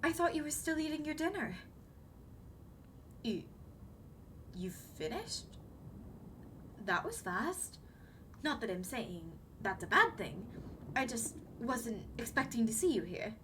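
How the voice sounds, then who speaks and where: A woman voicing a young siren queen, gentle, anxious and apologetic, speaking formally and hesitantly to the listener in an ASMR roleplay. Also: on the high side, big pitch swings, slow pace, long pauses. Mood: flustered, apologetic. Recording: clearly noisy background ambience.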